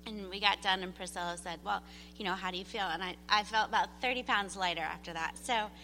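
A middle-aged woman giving an earnest, halting personal testimony to a congregation, often repeating herself as she goes. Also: medium pitch, bright, forceful tone. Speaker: church member giving a testimony. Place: large room, speaking to a gathering. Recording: reverberant hall with faint background noise.

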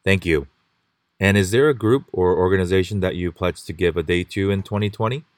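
A man hosting a podcast, reading scripted intros and asking interview questions in a polite, measured way. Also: low-pitched, no room sound, studio quality. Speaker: podcast host.

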